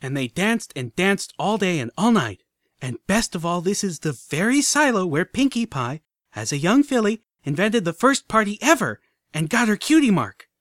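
A man's voice: very high voice, normal speed, no room sound, studio quality, voice pushed up. A man voicing a squealing, giddy pony filly. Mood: gushing. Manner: squealing, breathless, bursts of excitement. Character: hyperactive young female pony filly, giddy tour guide. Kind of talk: audiobook character voice.

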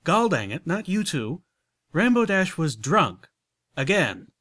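A man's voice: fairly high pitch, big pitch swings, slow, fairly bright tone, voice pushed up, exaggerated voice. A man voicing a blunt, flustered country farm mare with a put-on Southern drawl. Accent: Southern US rural (put on). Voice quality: drawling, exasperated.

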